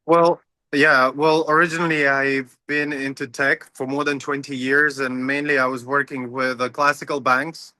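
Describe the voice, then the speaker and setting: A man's voice: medium pitch, level tone, normal speed, sharp tone, no echo. A man, a company founder explaining his product in an upbeat casual interview over a video call. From Latvia. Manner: upbeat, explanatory, slightly non-native phrasing. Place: home, early morning.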